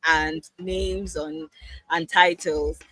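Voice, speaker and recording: High-voiced man hosting a call. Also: level tone, extremely slow, sharp tone, no echo. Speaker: host of a mentorship session. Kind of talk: conversational interviewing. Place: online group call. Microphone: video call.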